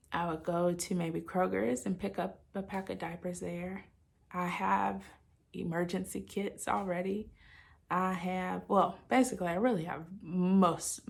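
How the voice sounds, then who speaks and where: A woman's voice: lowish voice, vocal fry. A woman talking informally and candidly to her viewers, like a chatty personal video diary. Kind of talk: casual confessional chat to viewers.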